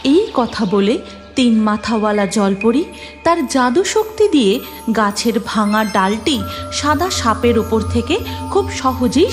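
A woman narrator reading a scripted fairy tale for a cartoon. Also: on the high side.

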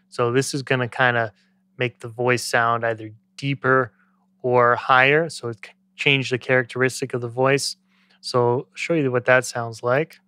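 A man in his thirties giving a casual software tutorial as a music production tutor, speaking into a close studio microphone. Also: medium pitch, no echo. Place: small indoor home studio. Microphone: close studio microphone on boom arm.

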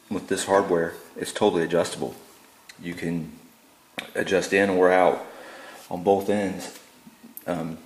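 Deep, flat-voiced man in an echoey garage. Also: a little hiss or hum. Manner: relaxed and plain-spoken, many ums and uhs. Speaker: DIY builder showing his work. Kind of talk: casual how-to walkthrough. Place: indoor garage.

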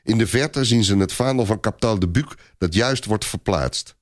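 A man's voice: fairly low pitch, moderate pace. A man narrating a scripted adventure story in a theatrical, suspenseful manner.

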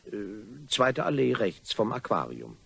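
An actor playing a nervous, stammering blackmailer in a radio play, formal but easily flustered. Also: male voice, medium pitch, wildly swooping pitch, a little slow, gravelly, sharp tone, slightly rough, dry recording, full character voice. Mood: insistent. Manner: hesitant, stammering, exclamatory, theatrical. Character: flustered male blackmailer, himself being squeezed, nervous and apologetic.

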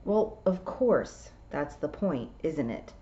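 Lady reading as a dry proud toad, slow, bit muffled. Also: low-pitched, sing-song, warm tone, exaggerated voice. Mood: wry.

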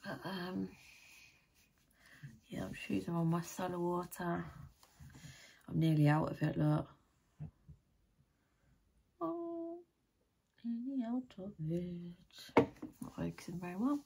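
A tired British woman talks in a casual, rambling way, as if chatting to her viewers from a room at home. Her voice sits on the low side, with a gravelly creak and a husky, croaky edge. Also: expressive, takes it slowly, pauses often, mellow. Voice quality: deep, croaky. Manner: rambling, chatty, thinking aloud. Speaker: vlogger. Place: small room at home, indoors.

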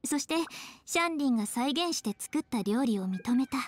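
A woman's voice: high voice, animated, normal speed. A woman voicing a poised, sincere young woman in a Japanese game, composed and articulate. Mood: admiring. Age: young adult.

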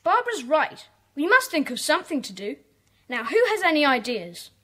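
A woman's voice: high voice, big pitch swings, normal speed, pushed and bright, full character voice. A woman playing a sensible, bossy schoolboy club leader in a children's audio drama, decisive and orderly.

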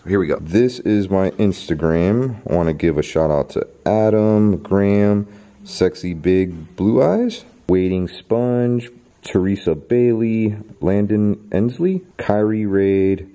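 A man in his thirties hosting a vlog, chatting to the camera and reading off viewer names while exploring a dark abandoned stadium at night. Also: fairly low pitch, lively intonation, slow. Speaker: YouTube host. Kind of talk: casual vlog narration. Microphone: handheld camera held close.

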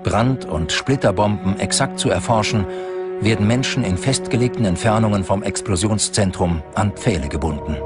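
A man's voice: on the low side, normal speed, loud music behind the voice. A man reading scripted narration for a documentary.

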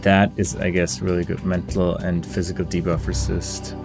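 A man's voice: deep voice, little pitch movement, moderate pace, gravelly. A man giving casual game commentary, musing and weighing his options aloud in a hesitant way. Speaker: gaming video creator. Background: game music.

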